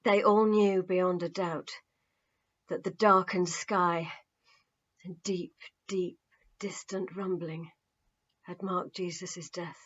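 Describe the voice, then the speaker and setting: A woman reading her novel aloud as an expressive, measured audiobook narrator. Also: on the low side, slightly rough, highs cut off. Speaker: author reading own novel.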